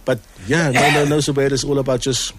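Earnest man, halting speech. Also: medium pitch, little pitch movement, fairly bright tone. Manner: earnest, repeats words, many ums. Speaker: choir executive. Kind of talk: casual interview chat.

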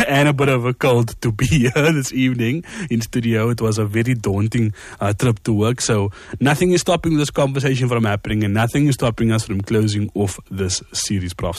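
A man's voice: mid-range voice, no echo. A man hosting an evening radio talk show from the studio, upbeat and conversational. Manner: upbeat, conversational, occasional laughs. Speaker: radio host.

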